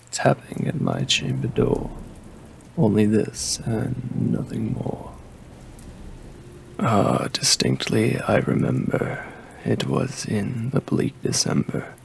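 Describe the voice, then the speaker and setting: A man reading a poem as an ASMR creator, with a deep, gravelly voice and a slow, hushed delivery that slips into whispers. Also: a little hiss or hum. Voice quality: deep, gravelly voice with heavy fry. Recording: faint rain ambience behind the voice. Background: rain ambience.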